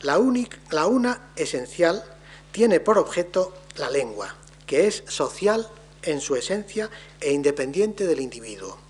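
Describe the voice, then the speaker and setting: A man delivering a formal academic lecture on linguistics in a measured, deliberate way, his phrases trailing off softly. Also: a little high, big pitch swings, breathy edge.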